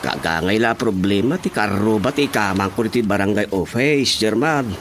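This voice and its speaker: Actor as a lively, swooping village man. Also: lowish voice, moderate pace, background noise, natural voice. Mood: earnest. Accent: Ilocano. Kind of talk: Ilocano radio comedy drama acting.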